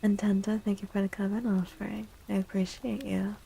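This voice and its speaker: A soft-spoken woman hosting an ASMR livestream and reading tarot, gentle and unhurried, often dropping into a breathy half-whisper. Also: medium pitch, level tone, a little hiss or hum, rough recording. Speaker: livestream host and tarot reader.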